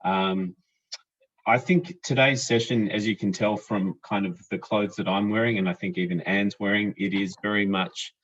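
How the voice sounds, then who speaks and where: A man moderates an online panel, hesitant and full of ums as he sets things up. His voice is very deep. The sound is dull and a little lo-fi, as on a video call. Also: steady tone, normal speed, fairly bright tone. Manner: hesitant, many ums and restarts.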